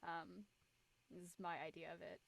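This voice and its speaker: A woman's voice: fairly low pitch, flat delivery, normal speed, creaky, a little hiss or hum, rough recording. A woman chatting casually on a panel, phrasing her thoughts loosely with many filler likes. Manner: conversational, heavy use of "like". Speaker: panelist in a post-show cast discussion. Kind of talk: casual chat.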